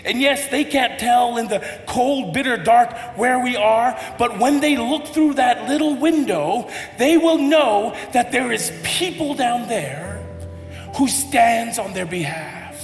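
High-voiced man preaching in an echoey hall. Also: slow pace, soft background music. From United States. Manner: storytelling, building to impassioned appeals. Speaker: pastor. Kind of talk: sermon. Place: church hall.

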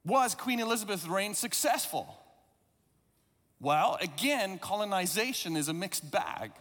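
South african guy preaching, pretty high voice, english is his second language, long echo like a big church hall. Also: expressive, unhurried, a bit bright. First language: not English. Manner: reflective, conversational preaching with occasional self-deprecating asides. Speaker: pastor. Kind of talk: sermon.

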